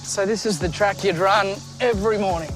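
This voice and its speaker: Man interviewer asking quick questions. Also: sits high, over music. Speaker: reporter, interviewer.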